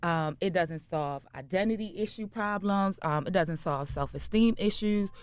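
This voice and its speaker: A woman's voice: fairly low pitch, moderate pace, clear, resonant tone. A woman interview guest, a writer and director, explaining a story in a studio interview.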